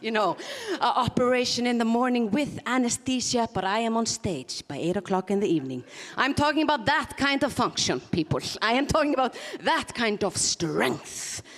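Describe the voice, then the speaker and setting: Woman, mixed Icelandic-British accent, stand-up comic, self-mocking, slightly high voice, long hall reverb. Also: lively intonation, moderate pace, few pauses. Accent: mixed Icelandic, Scottish and English. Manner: self-mocking, laughs at her own jokes. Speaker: stand-up performer. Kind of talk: stand-up comedy on stage. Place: conference hall stage.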